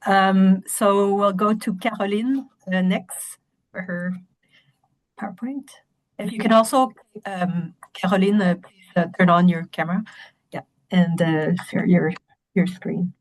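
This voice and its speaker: A woman moderates a webinar panel over a video call. Her pitch sits in the middle of the usual range for women. She talks briskly, with a few fillers as she hands over between speakers. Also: fairly even intonation, poor audio.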